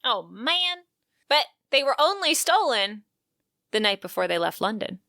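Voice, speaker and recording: A woman co-hosting a podcast, recapping a story conversationally with animated delivery and wry asides. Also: on the high side, unhurried, no echo, studio quality. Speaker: podcast co-host.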